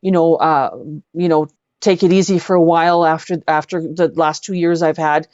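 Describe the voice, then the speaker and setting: A woman's voice: low voice, level tone, moderate pace, darkish tone, dry recording. Effusive woman, dull lo-fi sound. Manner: effusive, earnest, repeats words for emphasis. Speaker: interview guest, singer-songwriter. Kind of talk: casual chat, personal storytelling. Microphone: video call. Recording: dull, lo-fi online call audio.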